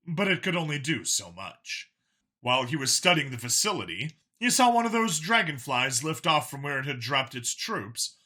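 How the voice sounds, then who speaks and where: A man's voice: fairly high pitch, sharp tone. A man reading a scripted story as a dramatic audiobook narrator.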